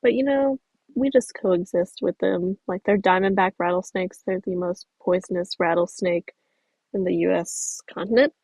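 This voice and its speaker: A woman's voice: on the low side, moderate pace, vocal fry, darkish tone, no room sound, rough recording. A young woman from the American South, chatting casually on a livestream while reading out viewer comments. She rambles in a friendly way, uses many fillers and laughs easily. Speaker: stop-motion creator streaming.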